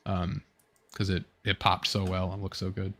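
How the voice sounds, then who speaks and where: A young adult man hosting a casual online fan discussion, talking in a rambling, halting way with fragmented phrasing. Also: deep voice, completely flat delivery, fairly bright tone. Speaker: online show host. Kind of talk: casual fan commentary, reading chat comments.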